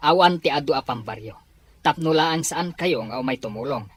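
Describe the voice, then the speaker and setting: A man's voice: on the high side, expressive, brisk, husky, no echo. A man playing a stern, hard-hearted farming father in a radio drama, blunt and domineering with an Ilocano accent. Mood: stern warning.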